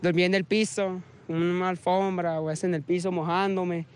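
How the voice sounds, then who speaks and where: A young man speaks in a fairly high voice. He answers in a shy, halting way. Faint outdoor ambience with some passing traffic is heard behind him. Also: level tone. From Venezuela. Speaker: interviewee. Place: outdoor camp.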